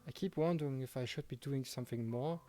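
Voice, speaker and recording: A man hosts a let's play, reading story narration and chatting casually about it. His voice is mellow and medium in pitch, with faint music behind him. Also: quick pace. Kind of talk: reading visual novel narration, casual commentary.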